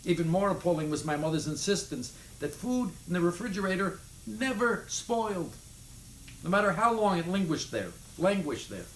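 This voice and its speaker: A man giving an expressive, emphatic reading of humorous memoir prose. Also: high voice, moderate pace. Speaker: reader of a memoir chapter. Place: indoors in a city apartment. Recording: echoey room, faint background noise.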